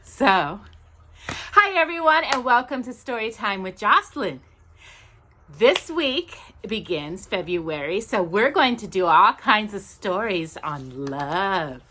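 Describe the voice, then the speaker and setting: A woman hosting a children's storytime, reading a picture book with playful, drawn-out emphasis and chatty asides to a companion. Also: mid-range voice, sing-song, bright, forceful tone, a little hiss or hum. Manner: addresses a companion directly while reading.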